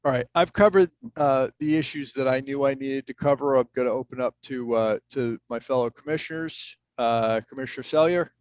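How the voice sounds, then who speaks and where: A man chairing a village commission meeting, speaking in a measured, procedural way with frequent fillers. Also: medium pitch, dry recording. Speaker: board chair.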